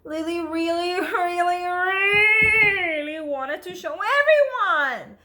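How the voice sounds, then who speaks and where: A woman teacher reading a storybook aloud to children, expressive and emphatic, speaking to her listeners directly. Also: very high voice, takes it slowly.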